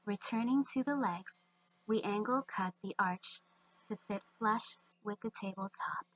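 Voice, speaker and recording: A woman's voice: mid-range voice, a little slow, poor audio. A woman giving scripted instructional narration for a woodworking video.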